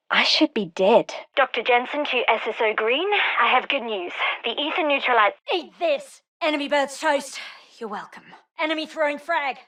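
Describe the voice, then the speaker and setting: Actress doing brainy dry-witted lady scientist for a shooter game, guilt-ridden but determined, highish voice. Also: no room sound, close to an everyday voice. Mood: reflective, uneasy, then urgent, businesslike, then combat shouting. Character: brilliant wry female scientist, guilt-ridden, urgent and determined. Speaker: scientist character. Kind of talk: scripted game voice lines and audio journals.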